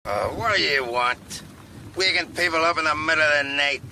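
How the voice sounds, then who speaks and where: A man's voice: a little high, moderate pace, heavy background noise, natural voice. A man playing a defensive, nervous old working-class landlord grumbling in a crime radio drama. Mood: irritated, wary.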